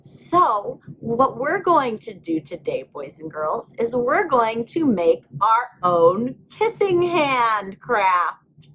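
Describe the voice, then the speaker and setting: A woman's voice: a little high, big pitch swings, slow pace, a bit bright, a little hiss or hum, rough recording. A woman teacher giving a step-by-step craft lesson to young children, explaining things simply and patiently.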